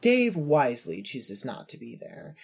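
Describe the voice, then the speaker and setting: Husky storyteller reading fanfic, really varied intonation. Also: mid-range voice, huge pitch swings, unhurried, a little mellow. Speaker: narrator. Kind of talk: second-person fan fiction narration.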